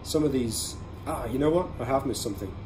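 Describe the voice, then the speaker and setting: A man hosting a casual product review, explaining things conversationally in short, measured phrases. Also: mid-range voice. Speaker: podcast host reviewing everyday carry products. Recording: clearly audible ambient background noise.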